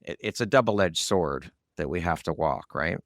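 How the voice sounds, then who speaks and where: A man's voice: low-pitched, animated, normal speed, no room sound, clean studio sound. A man hosting a conversational interview podcast, asking questions and sharing his own views. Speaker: podcast host.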